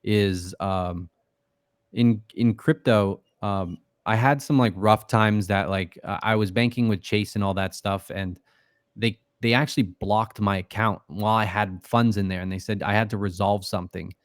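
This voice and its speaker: A man's voice: lowish voice, steady tone, moderate pace, no echo, clean studio sound. A man talking as a podcast guest in loose, casual speech, full of fillers and swearing. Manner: loose and profane, many fillers. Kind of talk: casual interview talk.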